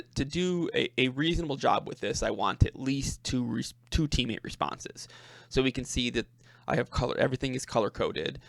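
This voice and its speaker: A man with a noticeably creaky, mid-pitched voice presents an informal software walkthrough. He talks in a halting way, as if working out each phrase aloud, and often repeats a word before going on. The recording is dry and close, with little sound of the space. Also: dry recording. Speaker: presenter demonstrating a personal coding project. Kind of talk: informal screen-share walkthrough.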